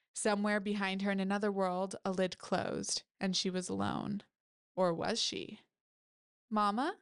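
Upbeat woman host with a stuffy nose. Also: medium pitch. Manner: effusive, upbeat, laughs often. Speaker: podcast host. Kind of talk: reading a story aloud, then casual chat.

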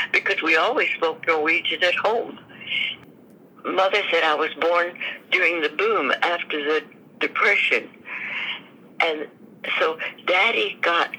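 A woman in her nineties tells her memories as a guest, with pauses and small restarts. Her voice is bright and edged, with a husky, breathy grain. She speaks very slowly and takes her time with each thought. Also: lowish voice, low-quality recording. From United States. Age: 90s. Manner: slow, thoughtful storytelling with restarts. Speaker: guest, army veteran. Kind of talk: reminiscing interview answers.

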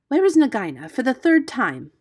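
A woman voicing a brave, impatient male mongoose in a storybook, scolding and forceful. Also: mid-range voice, sing-song, brisk, breathy edge, voice pushed up.

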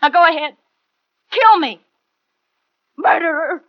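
A woman's voice: very high-pitched, slow pace, dry recording, heavily acted. An actress with an American accent playing a defiant doomed woman in a 1940s radio thriller. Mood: bitter, defiant. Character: defiant doomed woman facing her killer. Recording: dull vintage 1940s radio recording.

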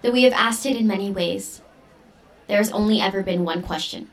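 An actress playing an earnest, defiant young daughter in an audio drama, speaking with intense, deliberate, poetic phrasing. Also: female voice, mid-range voice, close to an everyday voice, poor audio. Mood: solemn, resolute. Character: earnest, defiant teenage daughter preparing for ritual role. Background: music under the voice.

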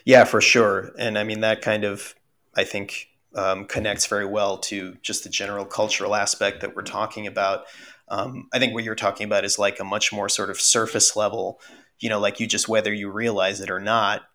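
A man's voice: lowish voice, little pitch movement, moderate pace, pushed and bright. A podcast co-host, a man who grew up speaking Russian, thinking aloud in casual conversation with many fillers.

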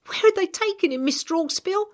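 A woman acts out an eager young girl from a storybook. The voice sits high, far above a grown narrator's, and is earnest and curious. It has a passionate, openhearted urgency. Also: expressive, a little fast. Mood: frantic, pleading.

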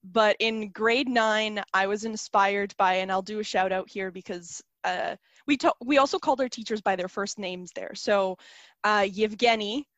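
A woman's voice: mid-range voice, normal speed, fairly bright tone, dry recording, highs cut off. Chatty young woman, dry close sound. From South Africa. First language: Serbian. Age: young adult. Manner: chatty, many fillers like "like" and "um". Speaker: guest. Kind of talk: casual interview conversation.

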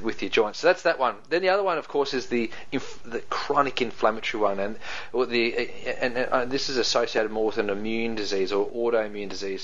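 A man with a New Zealand accent explains health topics as a podcast guest, talking in a loose, joking way and padding his points with ums and restarts. His voice sits a little low. The recording sounds dull and lo-fi. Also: fairly bright tone. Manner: laughs easily, jokes, many fillers and restarts. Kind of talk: casual explanatory chat.

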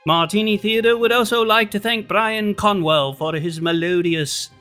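A man working as a radio drama announcer, reading a formal, measured scripted sign-off. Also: high-pitched, sharp tone, faint music behind.

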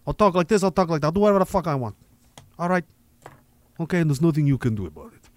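A brash, taunting character with a made-up Southern drawl, as a man puts it on. The drawl is low and mumbled, and the voice sounds muffled and quieter than the man's own talk. It is dry, with no room echo. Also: animated, rapid-fire, natural voice.

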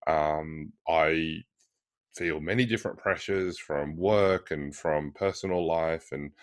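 A man interviewing a guest in a conversational way, encouraging, with hesitant, pausing phrasing. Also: a bass voice, no echo, clean studio sound.

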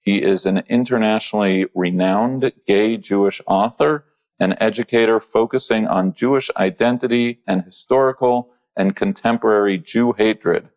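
A man, a rabbi, hosting a podcast interview, speaking in a halting way with many pauses, fillers and restarts. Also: fairly low pitch, a little mellow.

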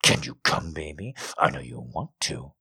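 A man voicing a commanding, growling male lover who speaks low and often in a hushed whisper. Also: animated, moderate pace, vocal fry, husky, heavily acted. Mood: domineering.

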